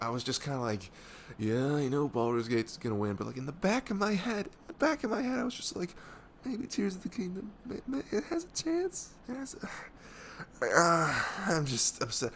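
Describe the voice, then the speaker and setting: A man's voice: medium pitch, big pitch swings, normal speed, vocal fry, fairly bright tone, a little hiss or hum. A man playing a young, affectionate, nerdy best friend in an intimate ASMR roleplay, rambling informally with hesitant, self-deprecating asides. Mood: disappointed, exasperated.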